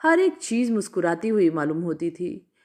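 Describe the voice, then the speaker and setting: A woman narrating a literary short story in an expressive, scripted storytelling style. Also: fairly low pitch, normal speed.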